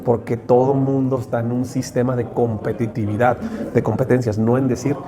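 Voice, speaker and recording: A man's voice: medium pitch, little pitch movement, moderate pace. A man with a Mexican accent in a conversational interview, explaining motivationally and emphatically with repeated rhetorical questions and lists. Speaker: interview guest. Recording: clear crowd chatter behind.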